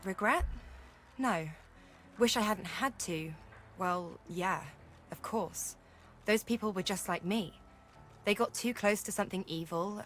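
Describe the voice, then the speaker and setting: An actress playing an earnest, haunted but determined young woman adventurer in a video game, heartfelt and halting. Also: medium pitch, a bit bright, slight background noise, lightly acted. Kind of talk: video game character acting.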